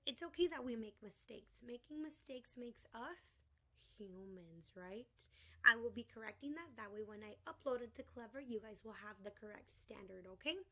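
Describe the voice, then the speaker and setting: Hoarse teacher coaxing young kids. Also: female voice, a little high, expressive, normal speed, sharp tone. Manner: sing-song, encouraging teacher talk to small children. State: losing her voice. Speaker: kindergarten teacher. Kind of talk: online class lesson.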